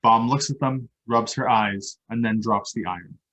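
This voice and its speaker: Male narrator for a table read, medium pitch, delivering scripted stage directions. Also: moderate pace, fairly bright tone, lo-fi sound.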